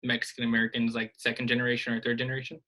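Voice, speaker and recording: A young man asking interview questions over a video call in a relaxed, friendly way. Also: medium pitch, rapid-fire. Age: young adult. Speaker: interviewer, student.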